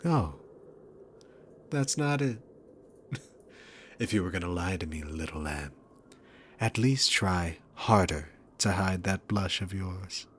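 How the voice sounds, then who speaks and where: A man's voice: low voice, expressive, a little hiss or hum. A man playing a smug, sarcastic, dominant demon king villain in an ASMR roleplay, mocking and condescending as he addresses the listener. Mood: teasing, dominant. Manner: mocking, condescending, unhurried, punctuated by chuckles.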